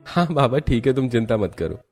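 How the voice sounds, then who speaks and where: A man's voice: mid-range voice, expressive, brisk, a little mellow. A man playing a mild, apologetic young husband in a cartoon story, speaking plainly and placatingly. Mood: agreeable. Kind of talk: Hindi cartoon story dialogue.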